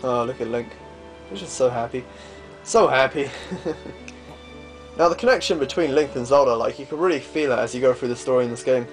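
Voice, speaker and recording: A man's voice: medium pitch, fairly quick. A man giving casual, chatty video game commentary, amused at himself and reading dialogue aloud as he goes. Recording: game music behind. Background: video game music.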